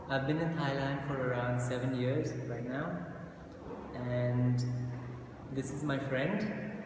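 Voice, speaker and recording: Man from India interviewing in an echoey room. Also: medium pitch. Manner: polite, encouraging, frequent short backchannels. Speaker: English teacher and interviewer. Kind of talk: structured interview, reading questions. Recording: echoey room, distant mic, noisy.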